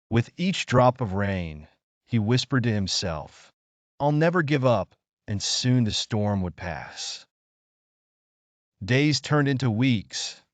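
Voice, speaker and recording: A man reads a children's bedtime story in a low voice. His delivery is lively, with pitch that rises and falls a lot, and the recording is close and dry. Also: no echo.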